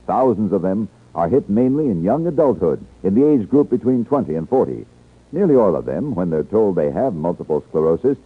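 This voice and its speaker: A man's voice: sits low, husky. An American man reading a scripted announcement in 1950s radio announcer style. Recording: tinny, muffled old radio broadcast.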